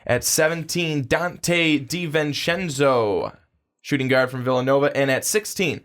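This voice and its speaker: A man co-hosting a sports podcast, listing draft picks in a measured way with frequent fillers. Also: mid-range voice, fairly bright tone. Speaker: podcast co-host.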